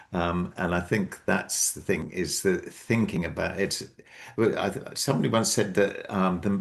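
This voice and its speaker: A man's voice: deep voice, creaky. A man hosting an online book launch on a video call, speaking hesitantly with many ums and restarts. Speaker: book co-author and event host.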